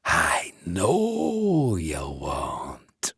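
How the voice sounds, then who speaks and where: A man's voice: low-pitched, wildly swooping pitch, extremely slow, creaky, a bit bright, slightly rough, no echo, studio quality, pitched below the performer's own voice, exaggerated voice. A man voicing the cruel ghost of an old-time policeman who taunts and beats his victim. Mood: gloating. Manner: taunting, relishing violence.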